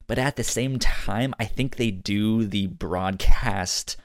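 A man hosting a podcast, chatting casually and haltingly, often repeating words as he works out his thought. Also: on the low side, dry recording, clean studio sound. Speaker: podcast host. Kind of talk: casual review discussion.

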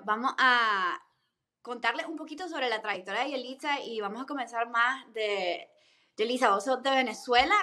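Woman podcast host, higher voice, relaxed interviewer using vos forms.

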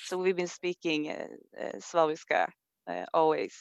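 A woman's voice: deep voice, big pitch swings, moderate pace, gravelly, clean tone, dry recording, lo-fi sound. A woman from Sweden with a Swedish accent, speaking thoughtfully in an interview, with many fillers and restarts. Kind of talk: reflective conversation.

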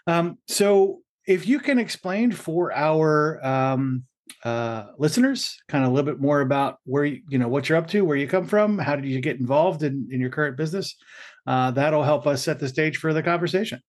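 A man hosting a podcast interview, asking measured questions with frequent "um" and "uh". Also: fairly high pitch. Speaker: podcast host.

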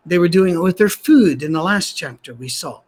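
A man, a pastor, preaching a sermon in a soft, gentle voice, conversational with dry humorous asides, at a church gathering held on a soundstage. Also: high-pitched, lively intonation, moderate pace.

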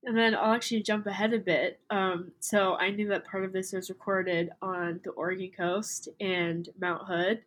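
A woman's voice: mid-range voice, flat delivery, moderate pace, few pauses, rough recording. A young woman asks the questions on a college radio show in a studio. Her voice is stuffy and nasal from allergies. She keeps a friendly interview pace.

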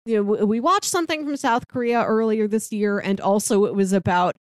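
A woman's voice: medium pitch, a little fast, no room sound, clean studio sound. A woman co-hosting a podcast, talking in a casual, conversational style. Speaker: podcast co-host. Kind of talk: casual chat.

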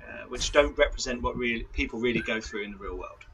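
A man's voice: medium pitch, fast talker, a loud crowd, rough recording. A man hosting a tabletop role-playing livestream as game master, chatting informally through announcements and correcting himself as he goes.

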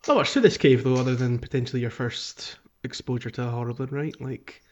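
A man's voice: mid-range voice, fairly even intonation, a little fast. Guy on a gaming podcast, bit muffled, mid voice, kinda rambly and thoughtful, casual chat. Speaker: podcast co-host.

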